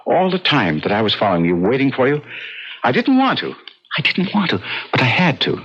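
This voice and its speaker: A man's voice: medium pitch, huge pitch swings, brisk, a bit bright, slight background noise. An actor playing an earnest, obsessed, awkward man with hesitant, stammering intensity in an old-time radio drama. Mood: obsessed, pleading. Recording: muffled old radio broadcast.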